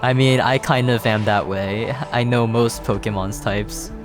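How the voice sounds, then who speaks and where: A man's voice: lowish voice, fairly even intonation, unhurried. A man giving casual, reactive commentary on a video game he is playing. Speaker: gaming streamer. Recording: game music behind the voice. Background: video game music.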